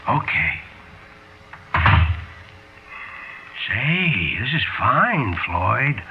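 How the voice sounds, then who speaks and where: An actor playing a sly, chuckling, quietly menacing fugitive crook in a 1940s radio drama, with ungrammatical American street slang. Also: male voice, medium pitch, very animated, takes it slowly. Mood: gleeful, easygoing. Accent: American, urban street slang. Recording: tinny, band-limited 1940s radio recording.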